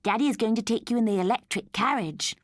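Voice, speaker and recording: A woman voicing an anxious, fussing mother in an audiobook story, urgent and high-strung. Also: fast, no echo, clean studio sound, close to an everyday voice. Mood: coaxing.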